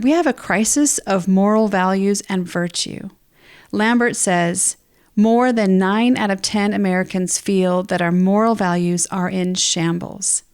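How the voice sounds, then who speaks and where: A woman's voice: medium pitch, darkish tone. A woman co-hosting a podcast, speaking in a conversational, explanatory way about books and ideas. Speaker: podcast co-host. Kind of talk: book discussion podcast, part casual chat, part reading prepared notes.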